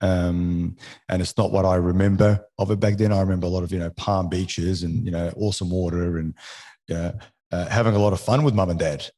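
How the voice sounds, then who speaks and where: A man's voice: deep voice, steady tone, brisk, dark tone. A man in his forties from Egypt whose first language is French, a podcast guest talking casually, often restarting and ending sentences with "right?". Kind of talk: casual interview conversation.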